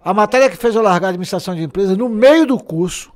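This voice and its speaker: Lively man in his sixties. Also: high voice, very fast. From Bahia, Brazil. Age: 60s. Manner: animated, jokey, laughs often. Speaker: guest. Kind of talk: casual storytelling interview.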